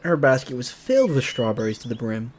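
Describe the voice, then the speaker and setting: A man's voice: mid-range voice, sing-song, a little fast, a little hiss or hum. A man narrating a visual novel aloud as a let's player, casual and slangy, with joking asides. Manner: jokey, slangy. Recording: music behind the voice.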